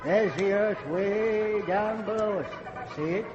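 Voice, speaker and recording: An actor playing the insistent conductor of a train of the dead in a 1950s radio thriller, folksy yet ominous. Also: male voice, high voice, slow, music in the background. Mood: eerily cheerful. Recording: muffled, noisy vintage radio recording.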